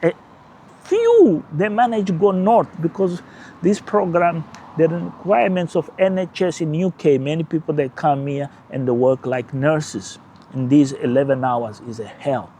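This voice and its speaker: A man whose first language is Portuguese speaks English with a Portuguese-speaking accent, and his voice sits a little high for a man. He hosts his own channel in an animated, emphatic way and drops short laughs into his points. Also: a little slow, slight background noise. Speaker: channel host. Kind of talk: casual political commentary.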